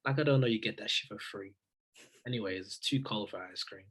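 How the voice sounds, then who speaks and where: A man's voice: on the low side, brisk, fairly bright tone, husky. A man playing a guarded, weary brother in a drama table read, speaking tersely in casual slang. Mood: guarded, dismissive. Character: guarded, weary brother, stubborn and self-reliant. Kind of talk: scripted table read acting.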